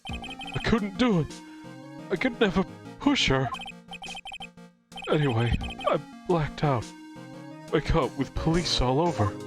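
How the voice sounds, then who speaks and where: Man voicing a slow, anguished convict in broken English. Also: on the high side, sing-song. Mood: anguished, wounded. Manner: halting, clipped broken grammar. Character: anguished betrayed male convict, broken English, haunted and simple. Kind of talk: live fan dub of a visual novel game. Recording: music under the voice.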